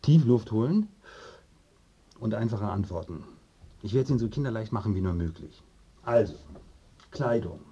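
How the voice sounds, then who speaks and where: A brisk media consultant in a radio play. His voice is low and husky but very animated, handing out clipped, condescending instructions. The sound is a little muffled. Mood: brisk, patronising. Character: brisk, patronising male media consultant coaching a client. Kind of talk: radio drama acting.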